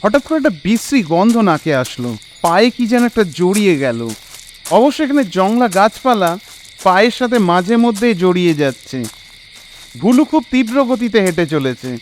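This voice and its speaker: A man narrating a scripted horror story in an expressive, suspenseful, dramatic way. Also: high-pitched, moderate pace, a little hiss or hum.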